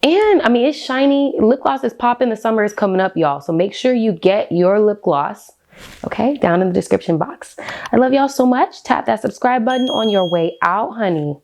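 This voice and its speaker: A woman's voice: a little high, lively intonation, moderate pace, a little mellow. A woman with an American accent, talking informally and with slang as a vlogger walking viewers through a step-by-step tutorial. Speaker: beauty vlogger giving a tutorial. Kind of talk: casual step-by-step tutorial chat.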